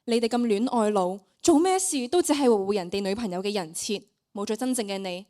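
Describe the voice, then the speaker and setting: A woman's voice: mid-range voice, fairly quick, dark tone, natural voice. A teenage girl with a Hong Kong Cantonese accent voicing a devoted, deferential magic mirror that counsels its owner in a student radio play. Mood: earnest counsel. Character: devoted magic mirror, flattering yet wise servant. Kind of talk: student radio drama acting.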